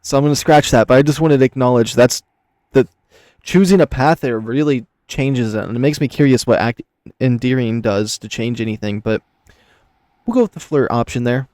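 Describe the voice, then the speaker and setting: A man hosting a visual novel playthrough, switching between casual chat and expressive narration of the story. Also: mid-range voice, moderate pace, dry recording, studio quality. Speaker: channel host playing and reading a visual novel.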